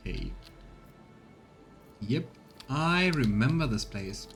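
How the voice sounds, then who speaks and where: A man's voice: medium pitch, animated, slow. A man doing casual let's play commentary, chatting as he thinks aloud and reads game text. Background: faint game music.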